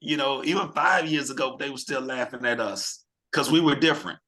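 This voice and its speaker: Man, a little hoarse, bright forceful tone, hype team leader, video call. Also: mid-range voice, fairly quick. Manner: hype, rallying the group with questions. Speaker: team leader hosting a real estate mastermind call. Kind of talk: energetic motivational video call.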